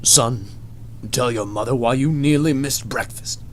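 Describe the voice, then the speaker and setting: A man's voice: mid-range voice, unhurried, lightly acted. A middle-aged father, crude and domineering, acted out by a man reading a story. He talks in a blunt, bullying way. There is faint noise behind the voice. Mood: stern, crude.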